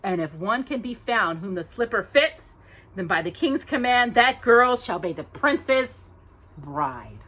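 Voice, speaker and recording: A woman voicing a stern, scheming, commanding older stepmother in a storybook, with deliberate, imperious delivery. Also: medium pitch, sing-song, a bit bright, poor audio. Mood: sharp, urgent.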